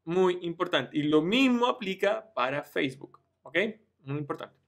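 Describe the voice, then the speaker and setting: Man, 30s, slightly high voice, casual tutorial talk, webcam at home. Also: animated, normal speed, vocal fry. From Chile. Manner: informal, addresses viewers directly, frequent "ya" and restarts. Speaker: online business youtuber. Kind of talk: screen-share tutorial.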